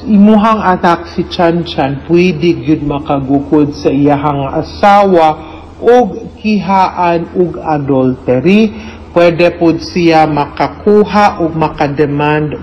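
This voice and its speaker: A woman with a Cebuano Filipino accent giving legal advice as a radio host, deliberate and emphatic, mixing in English. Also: sits low, darkish tone, lo-fi sound, slight background noise.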